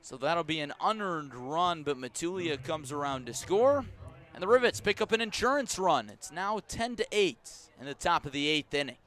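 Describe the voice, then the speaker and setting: A man's voice: a little high, lively intonation, moderate pace, a bit bright. American man calling baseball play-by-play. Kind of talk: live radio sports commentary. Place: outdoor baseball stadium at night.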